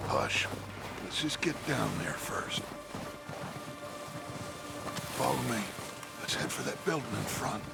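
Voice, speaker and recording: Gravelly, commanding American outlaw leader. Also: male voice, medium pitch, lively intonation, moderate pace. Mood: hushed. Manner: theatrical, authoritative. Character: charismatic middle-aged outlaw gang leader, commanding, persuasive. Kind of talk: dramatic video game western acting. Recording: music behind the voice.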